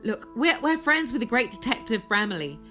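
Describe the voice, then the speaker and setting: An actress playing a sharp-tongued, distraught widow in a podcast drama, snappish and emotional. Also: female voice, on the high side, big pitch swings, moderate pace, faint music behind. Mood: desperate, pleading. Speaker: actor in audio drama.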